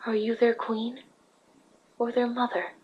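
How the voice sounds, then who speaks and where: Casting: woman as serene royal mare, gentle and patient, breathy and fairly high. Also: flat delivery, a little slow, muffled recording. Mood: gentle, earnest. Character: calm, regal, gentle pony princess, female, peace-seeking. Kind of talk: fan fiction audio drama acting.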